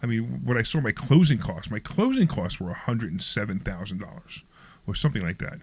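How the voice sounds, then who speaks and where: Fast-talking radio host in his sixties, male. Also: lowish voice, sing-song, darkish tone, breathy edge, no room sound. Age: early 60s. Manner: rambling, repeats himself, jokey. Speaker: radio show host and real estate investor. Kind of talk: casual chat on a talk show.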